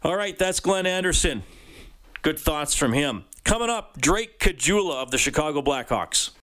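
Higher-pitched male sports radio host. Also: lively intonation, moderate pace, a bit bright. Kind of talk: sports talk hosting and interviewing.

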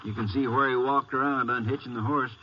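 An actor playing a folksy, matter-of-fact small-town sheriff in a 1950s radio western. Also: male voice, medium pitch, steady tone, a little fast, close to an everyday voice. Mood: puzzled, observant. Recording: muffled, hissy 1950s radio recording.

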